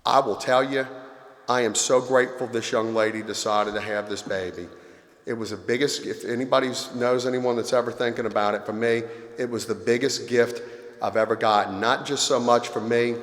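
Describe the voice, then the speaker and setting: A man's voice: mid-range voice, steady tone, moderate pace, fairly bright tone. Man, 50, from Louisiana; earnest heartfelt speaker, echoey convention hall, long reverb. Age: 50s. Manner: earnest, confessional, emotional. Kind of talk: personal recovery talk.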